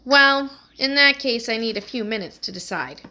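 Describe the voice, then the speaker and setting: A woman's voice: a little high, expressive, normal speed, sharp tone. A woman voicing an eager, food-loving young boy with an enthusiastic manner.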